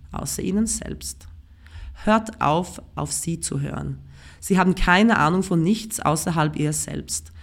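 A Swedish-accented woman with a lowish voice and a gravelly, fry-laced edge. She reads her own comic writing with a dry, self-mocking, deadpan wit. Her first language is Swedish. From Sweden. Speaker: writer and performer, guest. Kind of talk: reading her own comic prose aloud.

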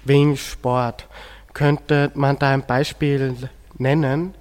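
A man's voice: mid-range voice, little pitch movement, slow pace, a little mellow, smooth. A teenage male student with an Austrian accent, moderating a discussion on a school radio show.

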